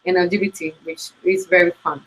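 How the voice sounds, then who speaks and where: A woman's voice: lowish voice, flat delivery, brisk, fairly bright tone, rough recording. A woman from Puerto Rico whose first language is Spanish, speaking English with a thick Puerto Rican accent, talking earnestly as an interview guest with frequent ums and restarts. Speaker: guest coach. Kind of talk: interview conversation. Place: New York.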